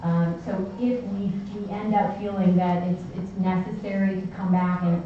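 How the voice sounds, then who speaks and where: A woman with a Maine accent, a town official, giving a measured formal report to a selectboard, with many ums and restarts. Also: on the low side, flat delivery, a little hiss or hum. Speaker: town official presenting to the board. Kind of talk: formal public meeting report. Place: town office meeting room. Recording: echoey, distant, dull municipal meeting recording.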